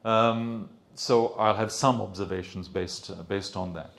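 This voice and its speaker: A man in his fifties with a British accent gives a relaxed conference lecture in a room with a projector screen. His voice sits fairly low, and he speaks into a handheld microphone. He hesitates often, filling pauses with ums and restarting sentences. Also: gravelly. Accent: British English. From Sri Lanka. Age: 50s.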